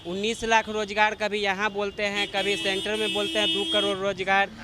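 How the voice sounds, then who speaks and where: A man's voice: sits high, monotone, moderate pace. A man giving his opinion in a street interview, arguing his case point by point. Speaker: interviewed member of the public. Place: outdoors on a city street. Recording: street noise behind the voice.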